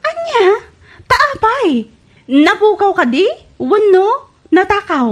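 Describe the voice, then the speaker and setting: Woman acting shrill, swooping, excitable friend. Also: slow pace, heavily acted. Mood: alarmed. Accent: Ilocano. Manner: exclamatory, questioning. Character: excitable, inquisitive friend reacting in shrill alarm. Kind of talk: Ilocano radio comedy drama acting.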